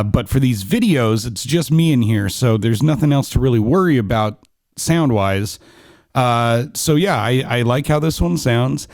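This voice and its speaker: A man's voice: mid-range voice, dry recording. A man in his thirties casually reviewing microphones in a small home studio, talking close into a condenser mic with lots of ums and restarts. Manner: chatty, relaxed, many ums and restarts. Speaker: podcast gear reviewer. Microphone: studio condenser on boom arm, close, no pop shield.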